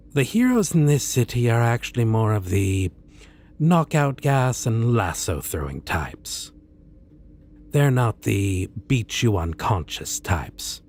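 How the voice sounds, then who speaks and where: A man playing a polite, self-assured supervillain in an ASMR roleplay, speaking in a pleasant, businesslike and theatrically measured way. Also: lowish voice, a little mellow, a little hiss or hum, exaggerated voice. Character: polite, self-assured male supervillain interviewing a minion recruit.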